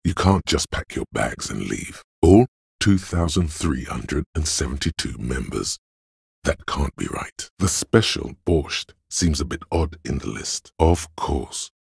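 A man voicing a game character, the inner voice of cold logic, husky and gravelly, speaking in a measured, cerebral, matter-of-fact way. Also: rumbling low, animated, dry recording, studio quality, heavily acted. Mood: analytical, reassuring. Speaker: video game voice actor. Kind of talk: scripted game narration of inner thoughts.

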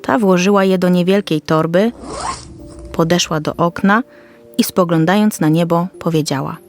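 A woman's voice: on the low side, moderate pace, soft background music. A woman storyteller reading a scripted Christmas fairy tale for children.

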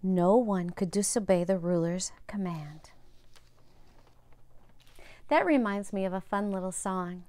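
A woman in her fifties reading a story aloud to young children and gently explaining it in simple words. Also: medium pitch, unhurried, pauses often, no echo. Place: indoors, home room with bookshelves. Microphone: lapel mic.

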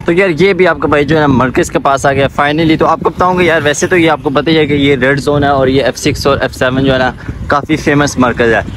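Young male vlogger, Pakistani accent, quick casual chatty delivery, mid pitch, noisy street recording. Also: steady tone. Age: 20s. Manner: chatty, addresses viewers informally as buddies. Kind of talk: casual walking-tour vlog. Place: outdoors on busy city streets. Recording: clear outdoor street and traffic noise.

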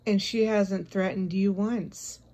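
A woman's voice: medium pitch, steady tone, slow pace, warm tone. A woman giving a confrontational, scolding monologue addressed directly at someone, as an online video creator.

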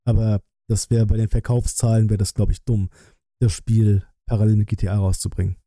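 Male livestream host, 30s, very mellow dark tone, dry close-mic sound, colloquial and blunt, thinking out loud. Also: lowish voice, little pitch movement, brisk, no echo. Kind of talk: casual livestream chat and trailer reaction. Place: small decorated streaming room indoors. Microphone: close desk microphone, headset worn.

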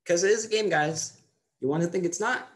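A man's voice: high voice, brisk, lightly acted. A man playing a bossy six-year-old boy lecturing playmates in an acting monologue, with an insistent, know-it-all manner. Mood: bossy, cocky.

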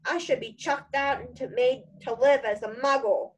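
A woman voicing a huge, bearded, sentimental man in a storybook, blunt and emotional in his rough talk. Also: fairly high pitch, normal speed, natural voice, rough recording. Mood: sobbing remorse.

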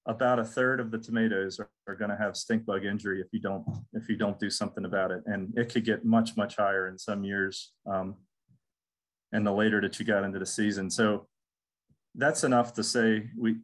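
A man's voice: on the low side, fairly even intonation, fairly quick. A middle-aged man from the United States giving a casual webinar lecture on crop pests, repeating words and restarting often. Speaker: extension entomologist. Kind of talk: recorded webinar lecture with slides.

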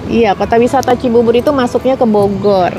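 Woman vlogger in her forties from Indonesia, chatty, somewhat high voice, lapel mic with loud street noise behind. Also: brisk. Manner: chatty, answers viewer comments with many fillers. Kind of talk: casual chat to viewers, reading comments. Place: outdoors on a city street. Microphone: clip-on lapel mic.

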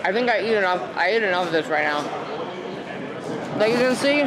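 A chatty man with a high, bright-sounding voice talks excitedly into a handheld camera. A big indoor sports hall surrounds him, and a loud crowd rumbles behind every word. The recording is casual and close, with camera-mic roughness. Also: a little fast. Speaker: vlogger. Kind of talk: casual chat. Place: large indoor sports hall at a wrestling show.

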